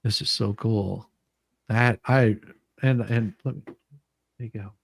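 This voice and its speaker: A man's voice: on the low side, steady tone, slow pace, creaky, slightly rough, dry recording. Rambling man hosting a livestream, dry sound. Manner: rambling, repeats phrases, trails off mid-sentence. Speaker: livestream host. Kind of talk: casual livestream chat and commentary.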